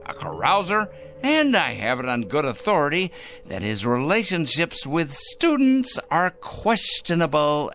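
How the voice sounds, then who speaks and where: A sharp, forceful voice for a fanatical, self-righteous busybody in a radio drama. He is prim and formal, measuring his words slowly and leaning on them with insistence. His intonation leaps dramatically up and down. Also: fairly high pitch, full character voice. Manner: prim, emphatic, formal, halting for effect. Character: fussy, self-righteous fanatical male crank denouncing strangers.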